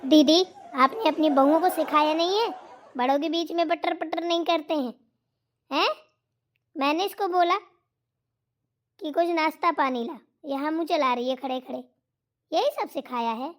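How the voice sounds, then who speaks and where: A woman's voice: sits high, moderate pace, long pauses, lightly acted. A woman voicing a bossy, demanding middle-aged aunt-in-law in a cartoon comedy, scolding and haughty. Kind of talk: Hindi cartoon comedy voice acting.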